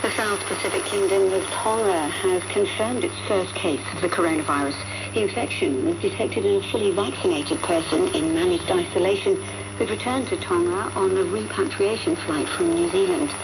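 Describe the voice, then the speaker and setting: A woman's voice: medium pitch, moderate pace, gravelly. A woman reading a formal scripted news bulletin on the radio. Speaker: newsreader. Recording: noisy shortwave radio broadcast.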